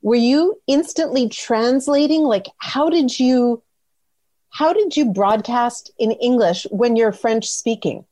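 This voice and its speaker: A woman's voice: fairly high pitch, slow, warm tone. Woman asking probing questions. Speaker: interviewer. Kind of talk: conversational interview.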